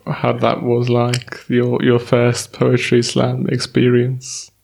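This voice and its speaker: A man hosts a podcast interview and puts his questions in a measured, slightly halting way. His pitch stays close to a single level and hardly moves. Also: completely flat delivery, gravelly, a little mellow, a little hiss or hum. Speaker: podcast host.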